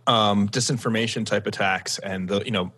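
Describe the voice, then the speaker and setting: Male co-host, casual questioner, low monotone with a forceful edge. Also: normal speed, a little hiss or hum. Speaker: podcast co-host. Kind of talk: casual podcast discussion.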